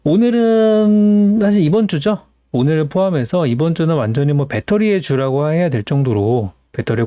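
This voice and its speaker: A man's voice: a little high, dark tone, dry recording. A man hosting as a stock market analyst, explaining things in an informal, conversational commentary style.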